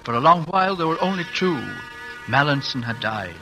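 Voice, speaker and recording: A man giving steady, somber scripted narration for a 1940s radio drama. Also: a little high, brisk. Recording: old radio broadcast with music underscore.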